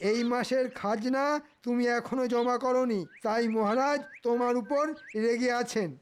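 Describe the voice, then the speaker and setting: A man's voice: extremely high pitch, fairly even intonation, normal speed, slight background noise. A man voicing a scheming, greedy cartoon minister in an oily, wheedling, theatrical way. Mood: bossy, sly. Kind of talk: exaggerated comic cartoon acting. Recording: music behind the voice.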